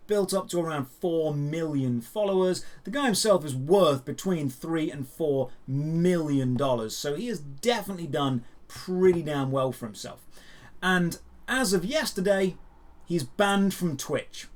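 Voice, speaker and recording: Higher-pitched man presenting geek news. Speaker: host of a daily geek news show. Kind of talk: scripted news commentary to camera.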